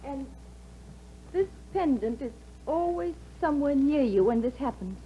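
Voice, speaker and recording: Young wife, anxious, pleading, high, lively pitch, lo-fi 1950s radio. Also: female voice, unhurried. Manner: tense, pleading, often near tears. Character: anxious young wife, fearful for her husband. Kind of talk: 1950s radio drama acting. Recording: dull 1950s radio broadcast with faint hiss.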